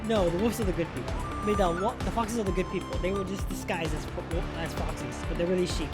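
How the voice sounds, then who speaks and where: A young woman giving casual, slangy live gameplay commentary as an excitable streamer who swears freely. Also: on the low side, fast talker. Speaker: game streamer. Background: loud game music.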